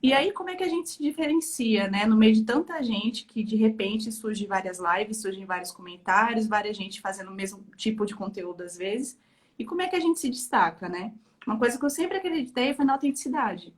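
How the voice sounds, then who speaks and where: A woman's voice: on the high side, brisk. A woman from Bahia with a Bahian accent tinged by Rio, a communication coach talking casually as a guest. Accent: Bahian with Rio touches. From Bahia, Brazil. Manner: relaxed, self-explaining, frequent "né". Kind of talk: casual interview chat.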